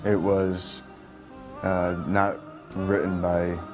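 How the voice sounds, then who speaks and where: An American man with a deep voice tells a personal story in deliberate, emphatic short phrases. Music is clearly present behind him. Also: little pitch movement. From Schenectady, New York, United States. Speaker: interviewee telling a conversion story.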